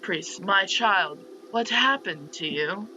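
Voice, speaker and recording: A man's voice: high voice, animated, slow, bright, forceful tone, lo-fi sound, slight background noise, exaggerated voice. A man voicing a concerned, kindly aging priest in a visual novel, solemn and reassuring.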